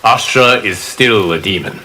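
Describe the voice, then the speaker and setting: A man playing a calm, wise fire god and martial arts mentor in a video game, speaking formally and gravely. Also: fairly low pitch, a little slow, poor audio. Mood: solemn, measured. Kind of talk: video game character dialogue.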